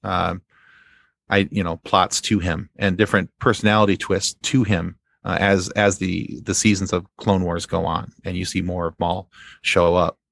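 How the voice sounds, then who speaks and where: A man's voice: low voice, level tone, normal speed, creaky, fairly bright tone, no room sound. A man in a casual fan discussion as a podcast guest, rambling and circling back to repeat his phrases.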